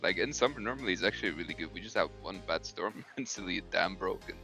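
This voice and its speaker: A man's voice: low voice, expressive, quick pace, pushed and bright, soft background music. A young man from the Netherlands, a viewer on voice chat during a game, easygoing and laughing, with casual swearing. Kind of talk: casual chat while gaming.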